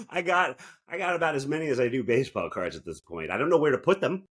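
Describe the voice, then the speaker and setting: A man's voice: fairly high pitch, big pitch swings, a little fast, a bit bright, slightly rough. A man co-hosting a podcast in casual, comedic chat, joking and often interrupting himself. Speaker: podcast co-host.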